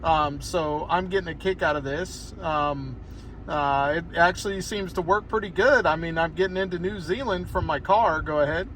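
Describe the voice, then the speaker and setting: A man's voice: on the high side, normal speed, fairly bright tone, a little hiss or hum, rough recording. An American man chatting casually as an amateur radio operator, rambling a little as he talks from inside a car. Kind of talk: casual ham radio conversation. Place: inside a parked car outdoors on a hillside.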